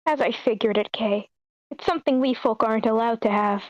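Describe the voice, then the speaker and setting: Henchman sidekick , female reader: high, eager-to-please, simple-minded comic stage part, dry lo-fi sound. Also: a little fast, no room sound, lightly acted. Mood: puzzled. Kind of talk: amateur script table read.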